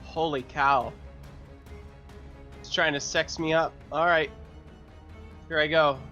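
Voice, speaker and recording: A man's voice: on the high side, fairly even intonation, slow pace, sharp tone, lo-fi sound. A man bantering casually as a player at a tabletop game. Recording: thin band-limited sound, music behind.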